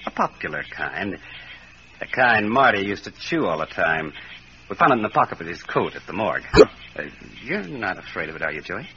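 A wisecracking American newspaper sleuth in an old radio crime play. The voice is crisp-edged and animated, wry and self-assured. A faint hiss and narrow sound mark the old broadcast. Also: male voice, sits low, moderate pace, full character voice. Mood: needling. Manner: wry, breezy, needling. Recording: noisy, band-limited old radio recording.

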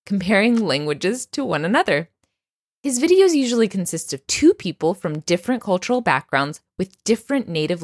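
Low-voiced woman hosting a podcast. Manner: upbeat, laughs often. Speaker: podcast host. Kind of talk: scripted intro then casual interview.

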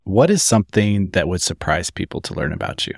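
A woman's voice: a bass voice, very animated, a little fast, gravelly, no room sound, clean studio sound. A woman hosting a podcast interview, asking curious questions with short replies in between. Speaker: podcast host.

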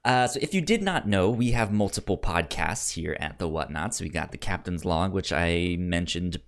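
A man hosting a podcast, chatting casually about a film in a halting, stammering way that often repeats words. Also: deep voice, lively intonation. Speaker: podcast host.

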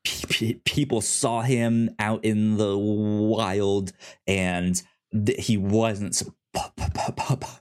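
Halting, stammering man in casual chat. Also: on the low side, fairly even intonation, darkish tone, clean tone. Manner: halting, stammers and repeats words. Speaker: podcast co-host. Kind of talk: casual chat about a comic series.

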